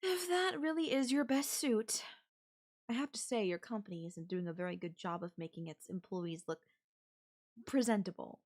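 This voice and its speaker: A woman performs a rich, domineering female chief executive for an audio roleplay. Her voice sits at a medium pitch for a woman. She speaks formally and imperiously, with cold condescension, as someone used to being obeyed. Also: moderate pace, darkish tone, lightly acted. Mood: disdainful, commanding. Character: controlling, haughty, wealthy female CEO, coldly condescending. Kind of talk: ASMR audio roleplay acting.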